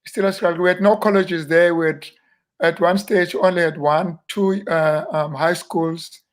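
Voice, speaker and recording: A South African man in his fifties, a minister, reminiscing in an unhurried, rambling interview with many fillers and restarts. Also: fairly high pitch, fairly even intonation. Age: 50s. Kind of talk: relaxed reminiscing interview talk.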